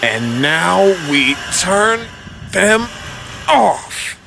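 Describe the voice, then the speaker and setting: A man voicing a young, quippy, quick-thinking male superhero who talks to himself in an audio drama. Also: sits high, big pitch swings, vocal fry, noisy background, pitched above the performer's own voice, heavily acted. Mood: strained.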